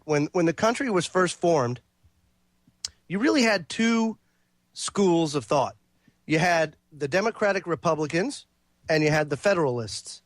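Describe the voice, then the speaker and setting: A man's voice: fairly high pitch, dry recording. A male talk radio host who sounds congested, delivering a monologue and reading passages aloud with frequent fillers and restarts. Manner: reads quotes and lists aloud, with fillers and restarts.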